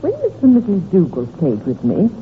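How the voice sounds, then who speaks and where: A woman's voice: fairly low pitch, huge pitch swings, fairly quick. An actress playing a gossiping, confiding landlady in an old radio crime drama. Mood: confiding, intrigued. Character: gossiping landlady telling a strange tale confidingly. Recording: tinny mid-century radio recording with noise.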